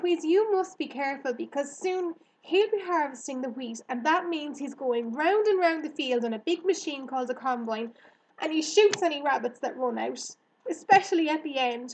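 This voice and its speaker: A woman voicing an earnest young farm girl in a storybook, excitable and a little anxious. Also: sits high, moderate pace, recorded from a distance, pitched above the performer's own voice, low-quality recording. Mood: earnest, worried.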